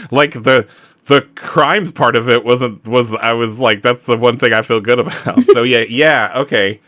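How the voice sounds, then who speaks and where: A man playing a tabletop roleplaying game, chatting casually and thinking aloud in an animated, halting way. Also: medium pitch, dry recording, studio quality.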